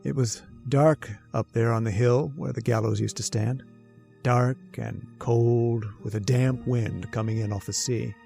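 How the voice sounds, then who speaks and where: A man's voice: mid-range voice, steady tone, a little slow, gravelly, dark tone, faint music behind. An actor playing a nervous, haunted man who narrates his story in an old-time radio horror drama, jittery and hesitant. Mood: eerie, shivery. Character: nervous, haunted, centuries-old male professor confessing a murder plan.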